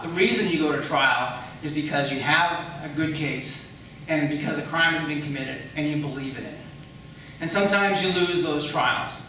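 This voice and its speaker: Strong room echo and faint noise surround an American man in a campaign debate. He explains firmly in a bright, slightly raised voice, repeating key points for emphasis. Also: on the high side. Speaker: county attorney seeking re-election. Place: echoey hall at a public debate. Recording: very echoey room, distant mic, faint noise.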